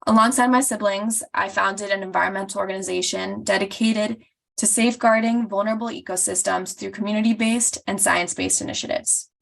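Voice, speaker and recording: A woman's voice: mid-range voice, vocal fry, a bit bright, rough recording. A woman from Costa Rica, an advocacy group coordinator, reading polished testimony at a public hearing over a video call. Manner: polished, reads steadily from a script.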